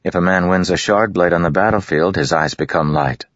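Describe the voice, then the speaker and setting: A man's voice: sits low, brisk, a bit bright, no room sound, voice pushed up. A man reading aloud, voicing a clean, bookish, slightly awkward boy who explains things carefully. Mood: explaining. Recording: dull lo-fi recording.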